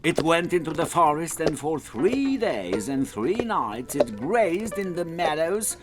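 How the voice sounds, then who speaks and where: A man's voice: on the high side, very animated, slow, over music. A man reading a scripted children's story as its narrator.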